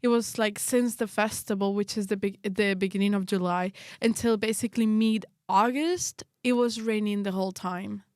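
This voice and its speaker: A woman's voice: medium pitch, steady tone, normal speed, few pauses, a little mellow, dry recording, clean studio sound. A young woman from northern Morocco and Spain co-hosting a casual podcast, chatty and rambling, with plenty of filler words. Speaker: podcast co-host. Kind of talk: casual chat.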